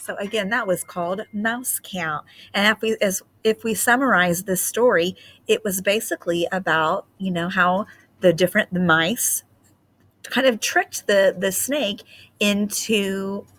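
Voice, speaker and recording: A woman's voice: medium pitch, measured pace, a bit bright. A woman speaking as a teacher leading a children's read-aloud, explaining simply and reading expressively.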